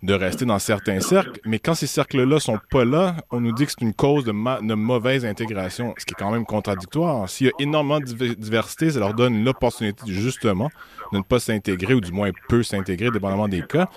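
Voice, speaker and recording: A man from Montreal with a Quebec French accent, hosting a radio interview in a halting, conversational way, often rephrasing. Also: on the low side. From Montreal, Canada.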